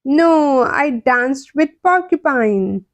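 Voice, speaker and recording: A woman's voice: high voice, animated, extremely slow, dry recording, voice pushed up, exaggerated voice. A woman voicing a well-meaning, nosy male animal neighbour in a storybook, candid and matter-of-fact. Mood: sore, gentle.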